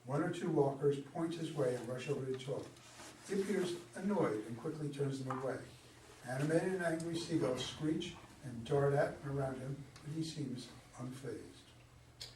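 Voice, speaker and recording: A man's voice: mid-range voice, normal speed, darkish tone. A man reading stage directions as narrator of a scripted play. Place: indoor room at a live reading. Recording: echoey room, distant mic, faint noise.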